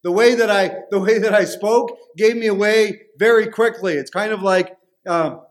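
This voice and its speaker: A man with a Canadian accent delivers a sermon, mixing personal stories with scripture in an easy, conversational way. His speaking voice sits a little higher than most men's. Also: normal speed. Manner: conversational storytelling preaching. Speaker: pastor.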